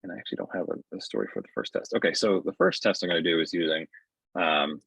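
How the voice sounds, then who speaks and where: A middle-aged man giving a casual webinar demo in a halting, conversational way, on dull video-call audio. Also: low voice, expressive, creaky, a bit bright, slightly rough, no room sound. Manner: halting, conversational, often checks with "right?". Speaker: software developer presenting his tool. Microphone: earbuds on a video call.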